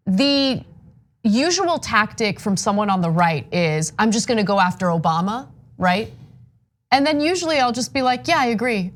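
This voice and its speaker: A woman co-hosting a news show, whose first language was Armenian. She speaks in a confident, brisk, conversational manner. Her pitch sits in the middle range. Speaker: co-host. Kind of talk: news commentary and casual chat.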